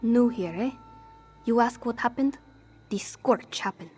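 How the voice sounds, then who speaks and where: High-voiced scrappy cat-folk thief played by a woman. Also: measured pace, darkish tone, soft background music, lightly acted. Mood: grim. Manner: refers to herself in third person, formal contraction-free phrasing. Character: anxious, scrappy female cat-folk thief, defensive and street-hardened. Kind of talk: fantasy game character dialogue.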